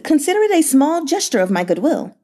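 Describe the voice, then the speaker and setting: A woman voicing a fawning, smooth-talking young male merchant with a lilting, wheedling way of speaking. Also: sits high, sing-song, a little fast, dark tone, voice pushed up. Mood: ingratiating. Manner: lilting, wheedling, full of little interjections.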